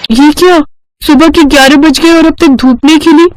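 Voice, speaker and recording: A woman voicing an excitable, whiny, naive young bride in a cartoon story. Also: high-pitched, normal speed, noisy background. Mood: dismayed. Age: young adult.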